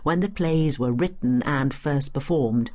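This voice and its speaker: A woman's voice: rumbling low, measured pace, mellow. A woman playing a knowledgeable tour guide in a scripted educational audio drama, explaining history to a companion. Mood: instructive, assured. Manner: instructive, explanatory, addressing a companion.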